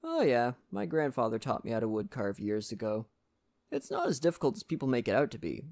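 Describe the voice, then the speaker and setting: A man playing a friendly, folksy lumberjack in a soft-spoken ASMR roleplay, talking gently and reassuringly to the listener. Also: medium pitch, huge pitch swings, fairly quick, vocal fry. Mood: relaxed, friendly. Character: friendly Canadian lumberjack, gentle nature-loving father.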